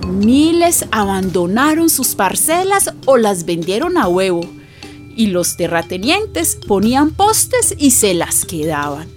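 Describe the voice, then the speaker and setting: Colombian woman storyteller over clear music. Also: medium pitch, slow pace. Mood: grave. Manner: expressive, colloquial, direct to listeners. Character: earthy, impassioned Colombian woman storyteller addressing her listeners. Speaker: narrator. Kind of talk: dramatized radio narration.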